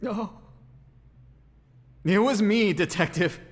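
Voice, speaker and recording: An actor playing a theatrical, agitated ventriloquist and murder suspect in an audio drama, dramatic and sarcastic. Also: male voice, sits high, slow. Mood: solemn, confessing. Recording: long reverb, music underneath.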